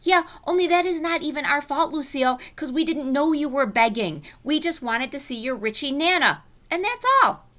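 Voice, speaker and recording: A woman voicing a chatty, spunky kindergarten girl in a storybook read-aloud, eager and loud when excited. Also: mid-range voice, moderate pace.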